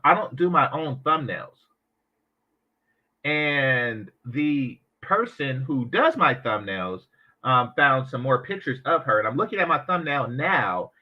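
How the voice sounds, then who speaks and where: A man hosting a reaction commentary, talking in an animated, sarcastic way with mocking asides. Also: medium pitch, dull audio. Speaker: commentary channel host.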